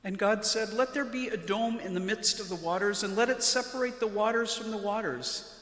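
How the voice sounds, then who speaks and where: A woman, a pastor, reading scripture from a church lectern in a solemn, measured way. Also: mid-range voice. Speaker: Lutheran pastor. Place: large church interior, at the lectern. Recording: echoey church with long reverb.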